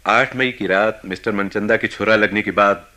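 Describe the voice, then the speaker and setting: A man's voice: lowish voice, fairly even intonation, moderate pace, sharp tone. A man acting a police investigator in a radio play, formal, probing and insistent.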